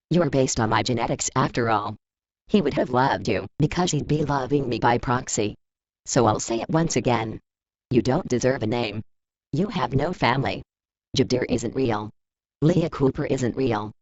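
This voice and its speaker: An actor playing a cold, condescending villain who taunts a rival with deliberate menace in audio drama. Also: male voice, mid-range voice, natural voice. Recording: dull, muffled close dry recording.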